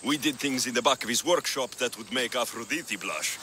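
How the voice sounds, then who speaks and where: An actor playing a wry, tough Greek mercenary in a video game, with a dry, sardonic delivery. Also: male voice, medium pitch, moderate pace, pushed and bright, a little hiss or hum, close to an everyday voice. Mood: playful, smug. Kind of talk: video game cutscene acting.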